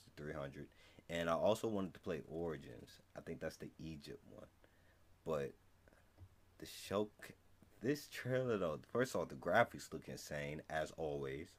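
Low-voiced young American man. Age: young adult. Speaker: reaction video host. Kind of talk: casual reaction commentary. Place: small room at home, indoors.

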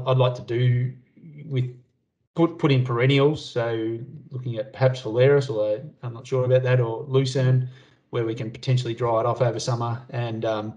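A man's mid-pitched voice comes through slightly muffled video-call audio. He talks casually and hesitantly as a farmer on a panel, working out his answers as he goes. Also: darkish tone. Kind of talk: casual question-and-answer talk.

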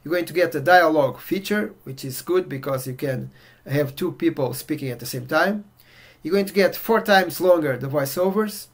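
A middle-aged man from Portugal with a Portuguese accent, walking through a software demo in a relaxed way with many fillers. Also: fairly high pitch, moderate pace. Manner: relaxed, filler-heavy walkthrough. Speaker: software reviewer. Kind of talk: casual screen-share demo. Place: indoor room at home.

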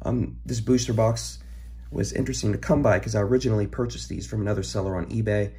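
A hoarse young man, recovering from an illness, chatting casually as he opens trading card packs at his desk, rambling with ums and throat clearing. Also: lowish voice, steady tone, quick pace, dark tone. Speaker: card-collecting channel host. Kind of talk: casual pack-opening chat. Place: home room, filmed over a desk.

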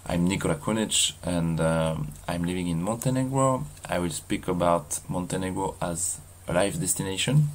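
Low-voiced Frenchman with a heavy accent. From France. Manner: halting, many fillers and restarts. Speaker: vlogger. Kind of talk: casual walking vlog. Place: outdoors in a seaside mountain town.

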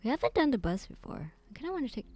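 A woman's voice: high-pitched, big pitch swings, rapid-fire, a little mellow, faint music behind. A woman streaming a game live, chatting casually with her viewers in a rambling, giggly way with many fillers.

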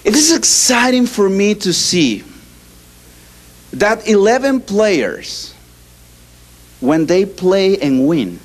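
Ecuadorian man speaking slow accented English. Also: high-pitched, big pitch swings. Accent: Ecuadorian Spanish. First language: Spanish. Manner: halting non-native English, many restarts, gesturing. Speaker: ministry speaker. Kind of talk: animated talk to an audience. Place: hall indoors. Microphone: handheld mic.

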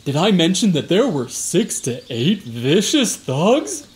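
An actor playing a boastful, bumbling security guard in a comic radio play, with an exaggerated manner. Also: male voice, fairly high pitch, huge pitch swings, slow pace, full character voice. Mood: flustered exaggeration. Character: boastful, dim, attention-hungry male security guard. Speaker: temple guard witness.

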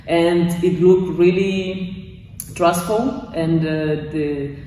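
A woman's voice: lowish voice, fairly even intonation, very slow, mellow, recorded from a distance, a little hiss or hum. A young woman from Brazil with a Brazilian Portuguese accent, speaking English as a second language, giving a casual testimonial and often restarting her sentences as she searches for words. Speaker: course graduate giving a testimonial. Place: small gym room, indoors, in front of weight racks. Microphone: far camera. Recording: echoey room from off-mic.

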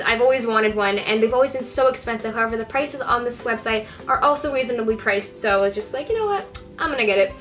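Upbeat young girl rambling to her camera in her bedroom, kinda high voice, faint music behind. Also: a bit bright. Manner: chatty and upbeat, many fillers and restarts. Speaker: fashion vlogger. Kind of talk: casual haul vlog to camera. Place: bright bedroom, indoors.

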